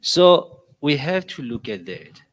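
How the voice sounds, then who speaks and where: A South African man, not a native English speaker, giving an impassioned comment at a public meeting, halting at times and rising to loud emphatic peaks. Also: on the high side, animated. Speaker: audience member.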